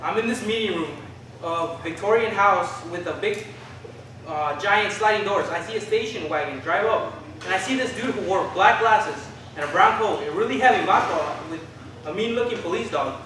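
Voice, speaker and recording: A man acts out a spirited young Chicano activist in a staged reading. The voice is high and the telling colloquial, sprinkled with Spanish words. It is heard from far off in a very echoey, noisy room. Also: normal speed, bright, forceful tone. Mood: casual, wry. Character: Philip, spirited Chicano youth recalling 1970 activism. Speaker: stage reader in a documentary play. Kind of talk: theatrical monologue, staged reading.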